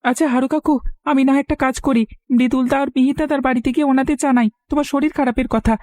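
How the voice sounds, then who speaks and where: A woman plays a caring young village boy in a cartoon. The voice is high and recorded dry, with an earnest, pleading delivery. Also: fairly even intonation, fast, dark tone, dry recording, close to an everyday voice. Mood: concerned. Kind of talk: Bengali cartoon voice acting.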